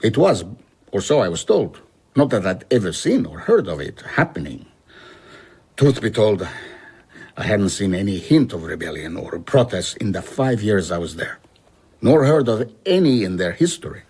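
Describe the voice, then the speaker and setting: Middle-aged traveller telling his story in a radio play, low husky voice, rueful and measured. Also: very animated, darkish tone, full character voice. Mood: sombre. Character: reflective older traveller recounting his rebellious past, rueful. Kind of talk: radio drama acting.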